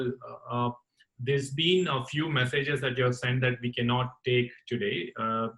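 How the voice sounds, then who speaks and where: A man hosting an online call-in talk session, speaking in a courteous, formal and halting way. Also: mid-range voice, pushed and bright, low-quality recording. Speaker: host of a campus radio talk session. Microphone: online group call.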